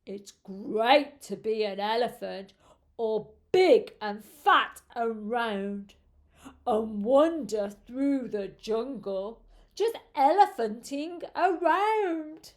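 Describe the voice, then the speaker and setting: A woman voicing a big, round, happy elephant from a children's storybook, in emphatic drawn-out rhyme. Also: a little high, lively intonation. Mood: happy, proud. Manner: emphatic, drawn-out rhyming delivery.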